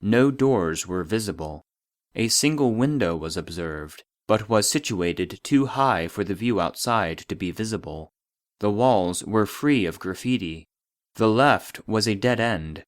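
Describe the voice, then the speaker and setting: A formal, impersonal archive narrator voiced by a man reads a scripted report with clinical detachment. The voice sits fairly low and is recorded dry, with no room around it. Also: dry recording. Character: formal, impersonal male voice of a secret archive database.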